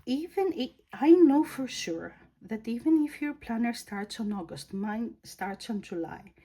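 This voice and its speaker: Greek-accented woman, planner vlogger, unhurried, soft husky tone. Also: on the high side. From Greece. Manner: slow, thoughtful, searching for words. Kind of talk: casual product review.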